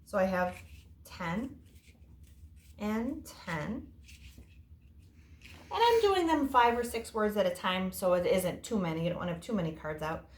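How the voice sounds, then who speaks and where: A woman's voice: mid-range voice, very animated, a little slow, long pauses. A woman teaching young children informally, explaining things simply in casual words. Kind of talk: informal children's lesson and story time. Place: indoor room. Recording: echoey room, faint noise.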